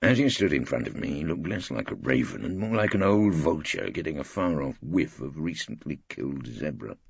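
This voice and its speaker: A man with an English accent playing a wry, irreverent older barrister, gravelly and droll, narrating an audiobook. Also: a bass voice, sing-song, normal speed, no room sound, dull audio, exaggerated voice. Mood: dry, innocent. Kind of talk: first-person audiobook narration with dialogue.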